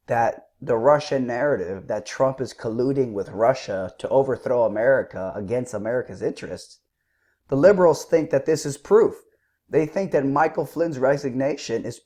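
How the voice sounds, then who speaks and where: A man with a sore throat giving combative, scornful political commentary, clearing his throat now and then. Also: medium pitch, warm tone. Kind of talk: opinionated commentary.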